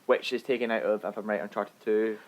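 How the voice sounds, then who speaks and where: A man's voice: on the low side, fairly even intonation, quick pace, highs cut off. A man chatting casually as a podcast co-host, explaining his opinions on films. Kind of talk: casual film review chat.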